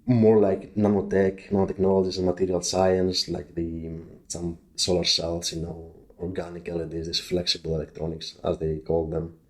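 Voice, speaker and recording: A man's voice: low-pitched, flat delivery. A man from Greece in his thirties with a Greek accent, chatting as a podcast guest and often restarting his sentences. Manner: halting, many restarts and fillers. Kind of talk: casual interview chat.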